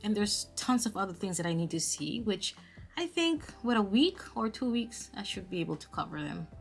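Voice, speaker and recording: A young woman from the Dominican Republic, a travel vlogger chatting casually to the camera, expressive and full of restarts. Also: medium pitch, moderate pace, creaky, soft background music.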